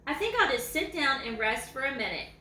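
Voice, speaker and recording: Lady reading as a lazy old storybook hound dog, self-important and folksy, high bright voice, echoey room. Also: sing-song, a little fast, recorded from a distance, full character voice.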